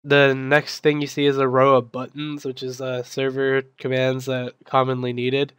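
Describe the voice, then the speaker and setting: A man's voice: mid-range voice, monotone, moderate pace, a bit bright, smooth, dry recording. A young man explaining a game server control panel in a casual, filler-heavy way, his voice still recovering from being sick. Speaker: server admin giving a tutorial. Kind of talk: screen-recorded software tutorial.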